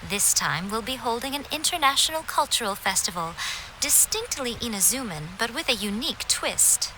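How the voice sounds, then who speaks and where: A woman voicing a poised, teasing noble lady, unhurried and playfully condescending, in a video game. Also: on the high side, very animated, noisy background. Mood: storytelling. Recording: music behind the voice.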